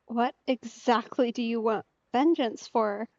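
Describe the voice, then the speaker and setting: A woman's voice: a little high, measured pace, no echo, lo-fi sound. A woman streamer giving casual, wry and playful reactions during a let's play.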